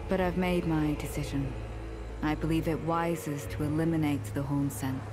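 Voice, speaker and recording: An actress playing a resolute, coolly calculating noblewoman in a video game, formal, measured and grave. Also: low voice. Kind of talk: video game character acting. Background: music.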